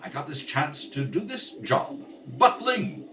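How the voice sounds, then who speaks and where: A man acting a gentlemanly, polite, down-on-his-luck butler in a 1930s radio comedy style, with measured delivery. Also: a little high, sing-song, faint music behind, voice pushed up, exaggerated voice. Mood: rueful, earnest. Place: kitchen table at home. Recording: faintly noisy home recording, distant mic.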